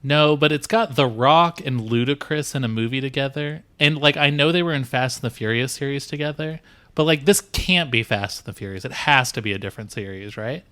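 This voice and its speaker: A man's voice: mid-range voice, a little fast, bright, forceful tone, smooth. A man producing a podcast, chatting in a distracted, offhand way with lots of "like". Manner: distracted, offhand, says "like" a lot. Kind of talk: casual chat.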